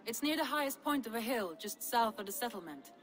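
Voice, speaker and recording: Woman playing a high-voiced, spirited Viking woman. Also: expressive, brisk, close to an everyday voice. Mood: eager, teasing. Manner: playful, confident, hearty. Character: Randvi, spirited bold Viking woman, teasing and adventurous. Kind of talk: dramatic video game acting.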